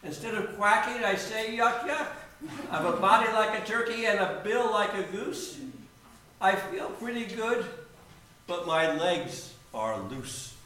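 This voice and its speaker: In a very echoey room, a man plays an odd little storybook bird, young and earnest. The voice is high and bright, declaiming simply like a young male creature. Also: lively intonation, a little slow, heavily acted. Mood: cheerful. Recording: echoey room, distant mic, faint noise.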